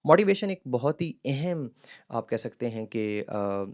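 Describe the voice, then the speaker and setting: Pakistani guy teaching linguistics, keeps switching into english terms, lots of uh pauses, kinda dull lo-fi sound. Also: medium pitch, sing-song. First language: Urdu. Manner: mixes English terms into explanations, many filler pauses. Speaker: linguistics lecturer. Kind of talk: explaining a textbook chapter.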